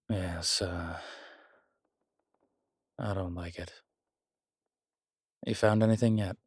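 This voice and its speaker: A man playing a wry, world-weary demon investigator in a horror roleplay audio, speaking in hesitant, measured, musing phrases with dry humour. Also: low voice, slightly rough, no room sound, heavily acted. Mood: uneasy, grim, then curious, musing. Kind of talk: horror roleplay audio, intimate one-sided dialogue.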